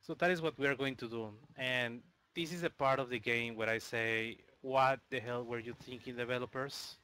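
Bright-voiced man with a Mexican accent. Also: medium pitch, fairly even intonation, moderate pace, smooth. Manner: explains while playing, loose and chatty, with fillers. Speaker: speedrunner. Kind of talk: live commentary on a speedrun.

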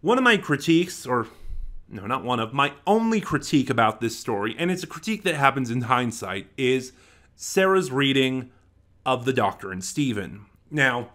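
A man's voice: mid-range voice, big pitch swings, moderate pace, fairly bright tone. A man in his twenties giving an unscripted review to camera, thinking aloud and correcting himself as he goes. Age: 20s. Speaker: audio drama reviewer. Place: small plain room at home, indoors. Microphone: desk microphone close in front.

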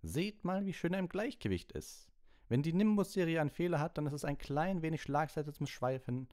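A man plays a brisk older woman coach from an audiobook. Her voice is lifted high and swoops with admiration as she rambles on knowledgeably. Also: lively intonation, a little mellow, close to an everyday voice. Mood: admiring. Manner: appreciative, rambling expert talk. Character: brisk older female flying coach, admiring broom enthusiast. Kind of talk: audiobook character voice.

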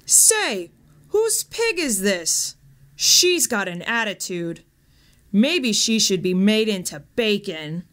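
A woman's voice: mid-range voice, very animated, slow pace, a bit bright. A woman voicing an angry, scornful skinny man, a petty thief in a story.

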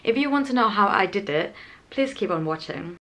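A woman's voice: mid-range voice, animated, a little fast, very noisy. Young woman giving career tips. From Thailand. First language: Thai. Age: young adult. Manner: earnest, explanatory, non-native grammar slips. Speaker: channel host. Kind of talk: scripted advice vlog to camera. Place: indoor home room, small. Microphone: camera framing, head and shoulders.

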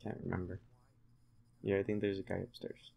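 A man's voice: low voice, completely flat delivery, moderate pace, creaky, lo-fi sound. A man giving casual, laid-back, joking gameplay commentary to a friend, thinking aloud as he plays. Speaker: let's play host, playing the game.

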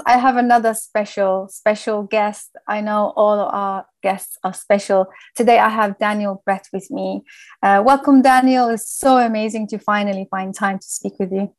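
A woman's voice: medium pitch, fairly even intonation, normal speed, dry recording. A woman in her thirties from the UK hosting an interview podcast. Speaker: podcast host.

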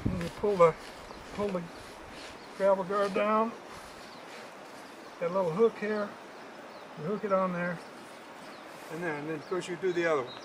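An older man giving a casual how-to demonstration as a fishing guide, folksy and halting, often repeating words, recorded outdoors from a few metres away. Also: high-pitched, steady tone. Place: outdoors at a roadside in the country, by a car. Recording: clear outdoor background noise.

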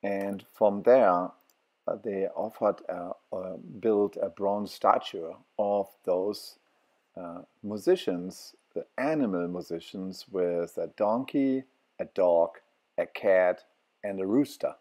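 Slow, deep-voiced man with halting speech. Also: mellow. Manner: halting, many fillers and restarts. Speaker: travel vlogger. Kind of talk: walking tour narration.

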